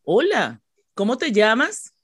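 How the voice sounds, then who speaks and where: A woman's voice: a little high, wildly swooping pitch, takes it slowly, slightly rough, exaggerated voice. A woman playing a polite, kindly and obliging schoolteacher in a radio drama. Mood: gentle, encouraging.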